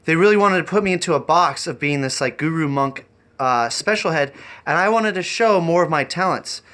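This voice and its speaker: Man, slightly high voice, very bright and forceful, eccentric, halting, improvised livestream chat. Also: animated. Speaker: live streamer and variety performer.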